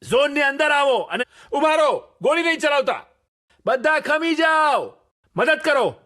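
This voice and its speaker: A man's voice: extremely high pitch, sing-song, unhurried, bright, forceful tone, heavily acted. A man voicing an urgent squad soldier in a game voice pack, barking short, clipped battle commands. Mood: urgent, commanding. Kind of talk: short shouted military game callouts.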